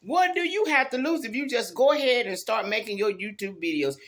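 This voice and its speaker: A woman's voice: a little high, lively intonation, fairly quick. Folksy woman in her fifties. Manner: folksy, emphatic, repeats phrases, addresses viewers as y'all, honey and child. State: tired after work. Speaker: YouTube vlogger. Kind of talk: casual motivational talk to viewers.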